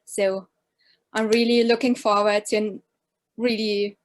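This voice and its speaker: A woman's voice: mid-range voice, flat delivery, slow. Hesitant woman. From Austria. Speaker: panelist, show producer. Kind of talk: video panel introduction.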